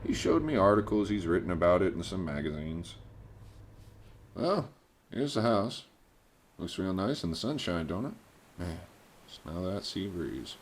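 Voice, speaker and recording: An actor playing a breezy, persuasive real estate dealer in a radio drama, with folksy, glib sales talk. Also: male voice, low-pitched, big pitch swings, unhurried, long pauses, full character voice. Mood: breezy, pleased. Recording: clear background noise, engine-like hum.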